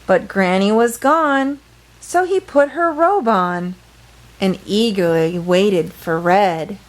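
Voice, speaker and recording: Slow-paced woman reading a children's story. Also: mid-range voice, rough recording. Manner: playful, dramatic. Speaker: storyteller reading a picture book aloud. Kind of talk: expressive children's read-aloud with brief asides.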